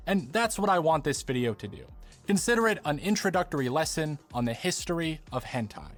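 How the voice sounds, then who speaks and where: Male video essayist, higher register, animated scripted narration over music. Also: brisk.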